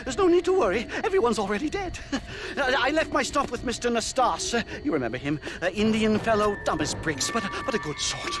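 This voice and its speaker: Really high voiced fussy professor, game cutscene, stutters, pompous n scared. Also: male voice, lively intonation, brisk, few pauses. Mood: nervous. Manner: stammering, wordy, flustered. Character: nervous, cowardly, pompous male professor. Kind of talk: video game cutscene acting.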